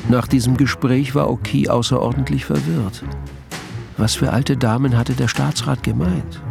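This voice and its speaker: An actor narrating a detective radio play as a measured, dryly ironic literary storyteller. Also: male voice, mid-range voice, fairly even intonation, vocal fry, over music. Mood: wry, measured.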